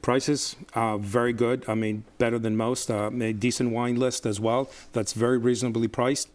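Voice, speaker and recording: A man's voice: mid-range voice, level tone, normal speed. A man who is a surgeon, chatting as a guest about a restaurant, telling it as a story with frequent fillers. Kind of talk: casual chat.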